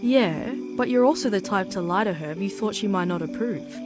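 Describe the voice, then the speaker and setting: A woman's voice: mid-range voice, animated, a little fast, over music, natural voice. A woman playing a chatty, teasing suburban mom and old school friend in a visual novel, with a gossipy, casual delivery.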